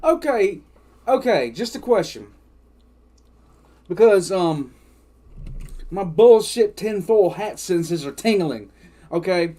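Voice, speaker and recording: A man's voice: high voice, expressive, slow pace, long pauses, dark tone. An older man giving off-the-cuff reaction commentary, swearing freely and sounding exasperated and incredulous. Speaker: reaction video host.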